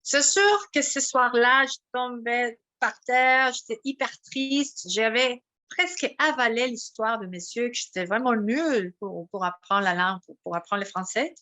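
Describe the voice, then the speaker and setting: Bright-voiced woman. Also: on the high side, a little slow, few pauses. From Mexico. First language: Spanish. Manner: animated storytelling with many restarts. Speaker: guest. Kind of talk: casual interview, personal story.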